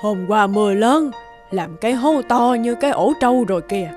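A woman voicing a kindly, worrying old village grandmother who gently chides. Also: medium pitch, lively intonation, normal speed, soft background music, natural voice. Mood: worried. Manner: fretful, gently chiding. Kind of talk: animated folk tale dubbing.

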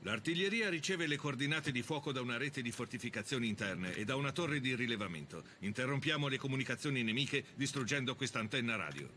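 A man playing a stern American army officer giving a firm, measured briefing in a game dub. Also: mid-range voice, lively intonation, pushed and bright, slight background noise. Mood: grave, commanding.

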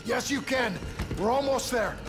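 A man's voice: extremely high pitch, measured pace. An actor playing a desperate, determined survivor in a cinematic game, urgent and protective in his delivery. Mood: urgent encouragement. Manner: urgent, emphatic. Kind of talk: cinematic video game acting. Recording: music behind dialogue.

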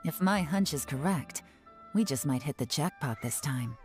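Low-voiced, cool spymaster. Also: female voice, moderate pace. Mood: composed. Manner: calm, dry and commanding. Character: cool, composed female spymaster giving orders. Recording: game audio with music.

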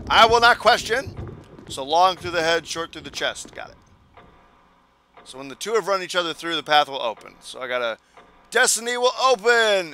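A young man commenting on a video game as he plays, joking and mocking himself, with a hoarse voice that he says is going. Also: on the high side. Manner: joking, self-mocking, animated. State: hoarse, losing his voice. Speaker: gaming channel host. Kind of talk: casual let's play commentary.

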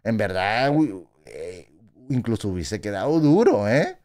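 A man, hosting a reaction video in casual, animated talk from a small indoor room on a studio mic, exclaiming, laughing and tagging his phrases with quick questions. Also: lowish voice, soft background music. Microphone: studio mic, wearing headphones in gaming chair.

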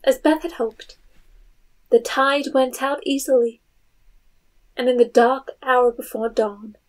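A woman's voice: sits high, fairly even intonation, slow. A woman narrating a literary audio drama in a scripted storytelling style.

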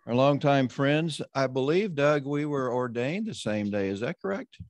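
A man hosting an online roundtable, introducing panelists and leading a prayer in a measured, conversational way. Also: mid-range voice, animated, no room sound. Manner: measured, conversational, often slowing down. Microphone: video call.

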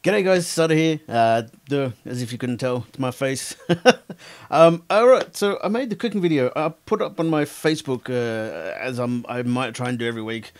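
A man's voice: mid-range voice, moderate pace. Laid-back Australian man vlogging. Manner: laid-back, rambling, laughs often. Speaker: cooking vlogger. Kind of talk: casual chat. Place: indoor room with green screen.

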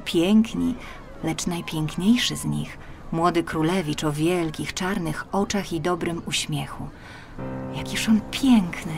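Female audiobook narrator, lowish register, expressive dramatic fairy-tale reading for children, music bed. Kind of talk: expressive scripted children's storytelling.

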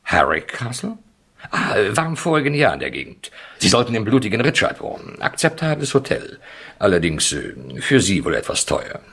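Snooty sarcastic police boss, husky, kinda low, very lively intonation, retro radio play. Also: male voice, normal speed, exaggerated voice. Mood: sardonic, sceptical. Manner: dry, sarcastic, hesitant interjections, bossy. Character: sardonic, condescending British police chief inspector. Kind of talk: 1970s German radio play acting.

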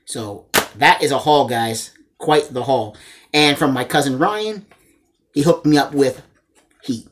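A man's voice: medium pitch, a little slow, long pauses, slight background noise. Man in his forties, mid-range voice, casual vlog host, enthusiastic, chatty show-and-tell delivery. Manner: enthusiastic, addresses viewers as guys. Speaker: host of a movie collecting channel. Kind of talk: casual chat showing a haul to camera. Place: small indoor room lined with disc shelves.

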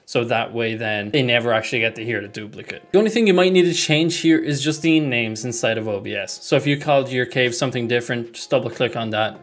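A young man giving a casual software tutorial, speaking into a desk microphone indoors. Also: medium pitch. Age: young adult. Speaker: tutorial host. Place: small room at home, indoors. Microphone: desk microphone close in front.

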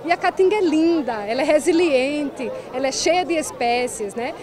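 High-voiced Brazilian woman at an outdoor fair. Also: normal speed. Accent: Brazilian Portuguese. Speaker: biology professor. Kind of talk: street interview. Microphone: handheld reporter mic. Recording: crowd chatter outdoors.

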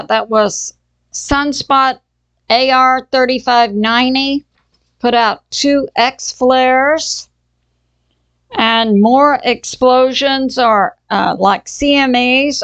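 A woman hosting her own channel, giving casual news commentary at a slow, halting pace with frequent fillers and restarts. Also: a little high, no echo. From Texas. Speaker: channel host.

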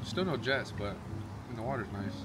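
A man's voice: medium pitch, expressive, normal speed, over loud music. An American man in his twenties chatting casually as a vlogger. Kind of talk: casual vlog chat. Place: outdoors in a backyard hot tub. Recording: noisy outdoor water and ambience.

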